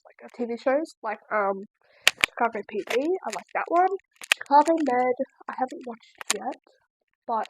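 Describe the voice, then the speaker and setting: A woman's voice: on the high side, noisy background. An eighteen-year-old Australian woman chatting casually in a home vlog, rambling with lots of likes and ums. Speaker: crochet vlogger. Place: at home, seated in a chair.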